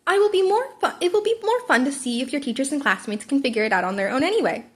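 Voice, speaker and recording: A woman's voice: sits high, animated, fast, voice pushed up. A woman voicing a small, cheerful, scheming animal school principal who speaks politely with amusement in an amateur audiobook. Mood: intrigued. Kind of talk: amateur fan fiction audiobook character.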